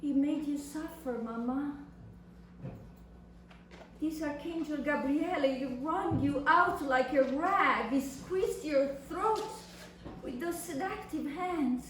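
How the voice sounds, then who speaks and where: In a very echoey room, away from the mic, a woman performs an anguished young daughter in a literary stage monologue. The voice is high and slow, with theatrical, impassioned weight on each phrase as she addresses her suffering mother. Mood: anguished, remorseful. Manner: impassioned, deliberate, theatrical delivery with long pauses. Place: reverberant indoor venue at a live reading. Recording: echoey room, distant mic, faint noise.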